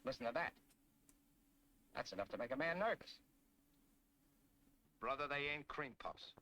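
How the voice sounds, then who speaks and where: An actor with an American accent playing a tough, grumbling tank soldier in a 1940s military training film, brash, slangy and emphatic. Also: male voice, mid-range voice, lively intonation, moderate pace, pushed and bright. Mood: gruff complaint. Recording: noisy 1940s film soundtrack, clicks.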